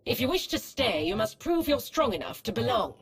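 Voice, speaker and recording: A woman's voice: fairly high pitch, sing-song, fairly quick, husky. A woman playing a cold, ruthless female Sith research hologram in a video game, speaking in a formal, detached, clinical manner. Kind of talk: scripted video game acting.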